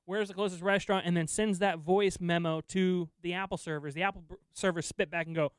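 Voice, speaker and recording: A man's voice: high voice, steady tone, fairly quick, clean tone, no room sound. A man hosting a casual tech news podcast, chatty and easy, with lots of fillers and laughter. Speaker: podcast host reading news items.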